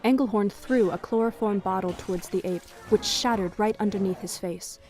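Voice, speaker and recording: A woman's voice: mid-range voice, normal speed, darkish tone, soft background music. A woman narrating a scripted adventure story for a fan audio drama.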